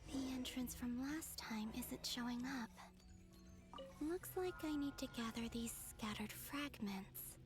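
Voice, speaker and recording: Actress as hushed, wistful young woman. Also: a little high, a little slow, music in the background, close to an everyday voice. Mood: weary, determined. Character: gentle, weary, wistful young woman musing alone.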